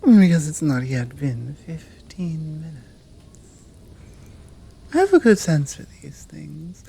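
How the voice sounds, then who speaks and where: Casting: female performer for commanding, flirtatious British monster woman, ASMR roleplay. Unhurried, mellow delivery with teasing whispered drops. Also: low-pitched, big pitch swings, creaky, breathy edge, exaggerated voice. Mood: seductive, pleased. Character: seductive, commanding horned fanged monster woman. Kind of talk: intimate ASMR roleplay acting.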